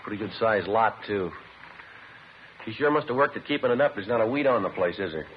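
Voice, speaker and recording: An actor playing a terse, methodical police detective in a 1950s radio drama, matter-of-fact and understated in both dialogue and narration. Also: male voice, fairly low pitch, animated, fairly quick, natural voice. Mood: quietly intent. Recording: noisy, dull vintage 1950s radio recording.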